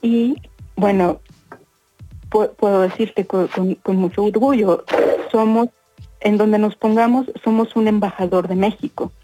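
A woman in her fifties with a Mexican accent, giving conversational interview answers, often pausing and repeating words as she searches for phrasing. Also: medium pitch, steady tone, over music, poor audio. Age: 50s. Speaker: interview guest, corporate professional.

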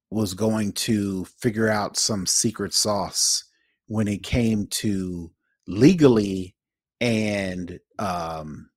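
A man co-hosting a podcast, giving casual, opinionated commentary with emphatic, deliberate phrasing. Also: lowish voice, no echo, clean studio sound. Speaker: podcast co-host.

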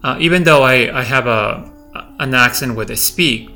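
A middle-aged man with a light Peruvian Spanish accent talks through his thoughts slowly, stopping often and restarting his sentences. His voice is gravelly and bright with some edge. Soft music plays faintly underneath. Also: mid-range voice. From Peru. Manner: hesitant, many fillers and restarts. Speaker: podcast host. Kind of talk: personal reflective monologue.

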